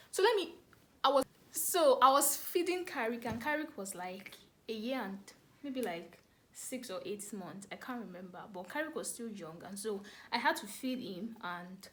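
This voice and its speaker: A woman with a Nigerian accent telling a story casually to camera, animated and dramatic, repeating words for emphasis. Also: on the high side, unhurried. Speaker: vlogger telling a family story.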